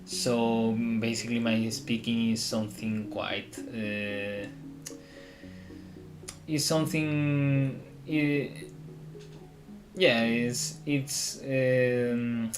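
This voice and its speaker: A man from Spain who speaks English as a second language, chatting casually on a live stream and often pausing to search for words. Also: mid-range voice, fairly even intonation. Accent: Spanish. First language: Spanish. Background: guitar music.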